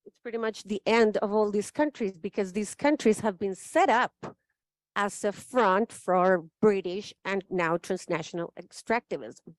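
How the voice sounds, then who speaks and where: A woman speaks as a forum panelist. She is thoughtful and emphatic and often checks in with her listeners. Her voice sits at a middle pitch and the sound is dry. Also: a little slow, dry recording, rough recording. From Chile. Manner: thoughtful, frequent "right?" tags, emphatic. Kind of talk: spoken forum remarks.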